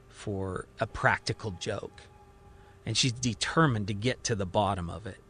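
A man's voice: on the low side, a bit bright. A man narrating a fiction podcast as its host, telling the story in a dramatic, suspenseful present tense.

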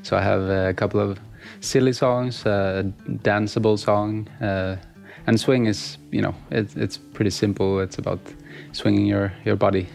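Swedish-native male musician, low and gravelly, halting casual answers. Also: steady tone, darkish tone, faint music behind. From Sweden. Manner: halting, many fillers and restarts. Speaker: musician guest. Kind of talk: casual interview.

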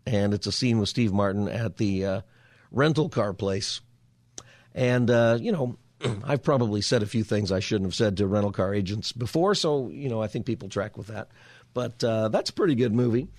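A hoarse, raspy male radio talk host chatting casually from his studio, rambling with restarts. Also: fairly low pitch, normal speed, darkish tone, no room sound. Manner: meandering, lots of fillers and restarts. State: hoarse from a cold. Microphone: studio mic.

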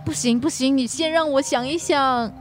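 An actress playing an anxious young wife in a radio drama. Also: female voice, high voice, moderate pace, faint music behind, natural voice. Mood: panicked, anxious. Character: anxious young wife, tipsy, later hungover and fretful. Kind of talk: Mandarin radio drama acting.

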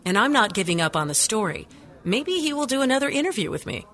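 A woman's voice: fairly high pitch, sing-song, fast talker, fairly bright tone. An actress playing a determined, caring and persistent female news reporter in a radio drama. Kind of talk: scripted radio drama acting.

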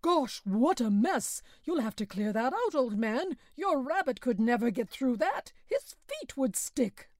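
A woman's voice: on the high side, animated, moderate pace, hardly any pauses, dark tone, dry recording, studio quality, natural voice. A woman voicing a jovial village joker man who teases in a boisterous way. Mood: mocking. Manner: teasing, boisterous.

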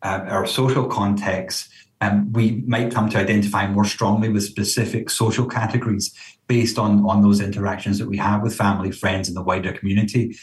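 A low-pitched man explains things conversationally, like a counsellor answering interview questions. He often checks in as he goes. The recording is roomy and echoey, with him sitting some way from the microphone. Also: level tone, brisk. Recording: roomy echo, distant from mic.

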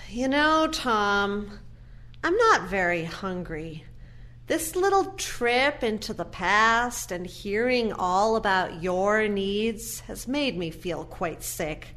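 A woman acting in an audio play as a guarded, resentful adult daughter, measured and pointed with her brother. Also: mid-range voice, expressive, fairly bright tone. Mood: cold, hurt.

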